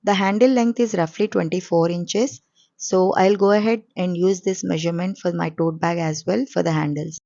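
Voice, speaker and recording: A woman hosting a sewing channel, explaining each step calmly in a voice-over, her voice coarse and hoarse from a cold. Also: fairly low pitch, moderate pace, no room sound, muffled recording.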